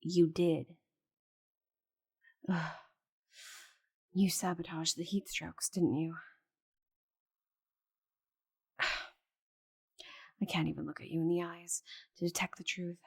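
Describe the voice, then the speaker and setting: Woman acting a haughty, breathy, low-voiced female dragon. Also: level tone, slow, long pauses, heavily acted. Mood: accusing, indignant. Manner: haughty, dramatic, sighing. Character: proud stubborn female dragon cursed to shrink, imperious and bitter. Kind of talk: scripted audio roleplay monologue.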